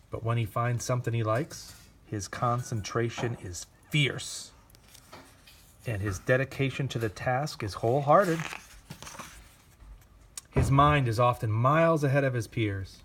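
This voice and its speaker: A man reading a children's picture book aloud with gentle, expressive storytelling. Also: medium pitch, a little hiss or hum. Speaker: reader.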